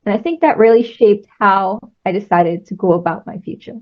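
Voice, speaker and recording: A young woman from Trinidad, answering interview questions in a measured, thoughtful way. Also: medium pitch, muffled recording. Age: young adult. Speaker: engineering student guest.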